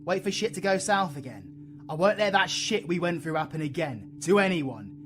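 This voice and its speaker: A man with a British accent playing a brooding, foul-mouthed dark-magic supervillain in an audio roleplay, intense and full of slang. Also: fairly high pitch, expressive, normal speed, slight background noise. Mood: urgent, defiant. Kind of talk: dramatic audio roleplay acting.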